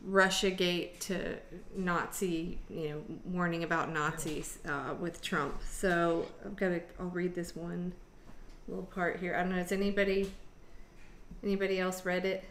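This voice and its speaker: A middle-aged woman with a lowish voice chats casually as she hosts an online discussion. Her delivery rambles and scatters, and the sound is roomy with some background noise. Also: level tone, measured pace, a bit bright. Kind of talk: casual group chat. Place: indoor room on an online video call.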